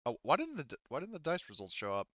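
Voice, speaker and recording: A man acting as game master in a tabletop roleplaying game, explaining things in a casual, halting way. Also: on the high side, huge pitch swings, a bit bright, dry recording, muffled recording.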